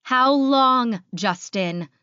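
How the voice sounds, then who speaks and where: A woman's voice: medium pitch, animated, a little slow, dry recording, dull audio. Woman playing an angry, imperious she-wolf leader. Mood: furious. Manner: sharp, imperious, confrontational. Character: angry, proud female werewolf pack leader.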